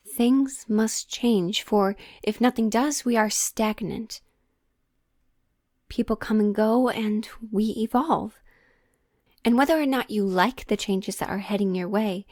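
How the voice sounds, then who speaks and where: Slow-talking witch fortune teller played by a woman. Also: on the high side, warm tone, no echo, clean studio sound. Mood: solemn, wise. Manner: slow, deliberate, theatrical, with pondering pauses. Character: witch tarot reader, mysterious, teasing, gently bossy woman. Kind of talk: improvised audio roleplay, addressing the listener.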